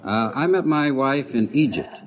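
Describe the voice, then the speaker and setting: A man's voice: medium pitch, lively intonation, normal speed, a little hiss or hum, low-quality recording. A man in his fifties answering a quiz host's questions hesitantly and politely, with many fillers, on an old 1950s radio broadcast. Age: 50s. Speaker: quiz show contestant.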